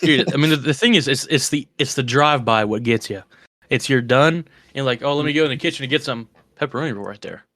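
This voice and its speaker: A man's voice: medium pitch, fast, fairly bright tone, no room sound. Male co-host, chatty and rambling, dry studio sound. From West Virginia. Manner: rambling, enthusiastic food talk with restarts. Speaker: podcast co-host. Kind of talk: casual chat.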